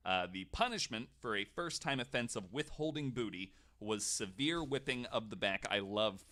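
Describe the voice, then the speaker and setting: Bright-voiced man with a lower pitch. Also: animated, moderate pace. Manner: wry, jokey asides. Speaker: podcast host telling a researched story. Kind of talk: casual comedic storytelling from notes.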